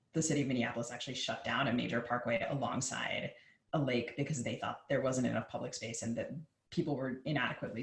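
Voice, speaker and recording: A woman co-hosting a podcast, talking casually and informally in a halting, conversational way. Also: very deep voice, gravelly. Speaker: podcast co-host. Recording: roomy, echoing sound.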